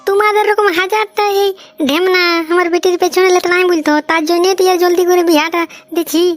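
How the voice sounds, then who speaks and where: Shrill, fast cartoon village mother. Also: level tone. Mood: indignant. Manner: fussy, talkative. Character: pushy, talkative village mother of a cartoon bride. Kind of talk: cartoon comedy dialogue.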